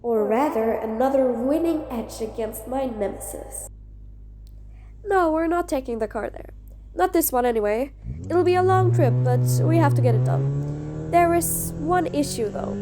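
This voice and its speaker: A woman's voice: high voice, big pitch swings, unhurried, background noise, full character voice. A woman playing a mean but patient supervillain in an audio roleplay, bossy and sardonic, with threats that carry grudging fondness. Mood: businesslike. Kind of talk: scripted audio roleplay addressed to the listener.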